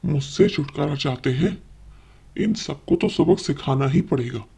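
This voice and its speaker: A menacing witch, acted by a man, with a dark and creaky voice. The witch taunts and threatens in the angry manner of a horror cartoon villain. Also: on the high side, animated, unhurried, a little hiss or hum. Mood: vengeful. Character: angry, menacing witch haunting a family's house. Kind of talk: dramatized horror cartoon story.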